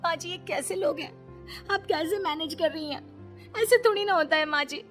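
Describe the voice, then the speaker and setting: A woman's voice: very high-pitched, moderate pace, a bit bright, pitched above the performer's own voice. A woman voicing an earnest, aggrieved young newlywed daughter-in-law in a plaintive cartoon-story manner. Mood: distressed. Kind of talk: cartoon moral story acting. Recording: music under the voice.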